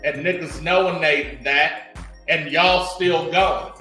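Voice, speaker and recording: A man's voice: fairly high pitch, slow pace, pushed and bright. A man in his forties co-hosting a podcast, talking bluntly and crudely in casual chat. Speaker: podcast co-host. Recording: echoey room, faint music.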